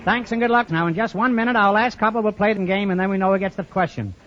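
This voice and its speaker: A man's voice: high voice, quick pace, music in the background. A middle-aged American man hosting an old-time radio quiz show with jovial, teasing banter. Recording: muffled, very noisy vintage radio recording with clicks.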